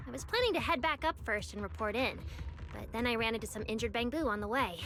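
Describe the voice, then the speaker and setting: An actress voicing an earnest, caring young researcher in a game dub. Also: female voice, a little high, animated, fast talker, fairly bright tone. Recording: game audio over music.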